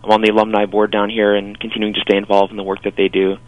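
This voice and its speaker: A man's voice: fairly low pitch, monotone, fast talker, dry recording, poor audio. A young man in his twenties, a guest on a radio interview, talking earnestly about his time as a volunteer.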